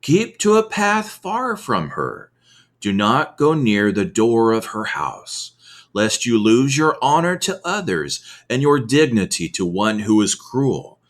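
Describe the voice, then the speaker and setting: A man reading scripture aloud as ASMR, with measured, deliberate phrasing and expressive emphasis. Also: medium pitch. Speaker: reader of scripture.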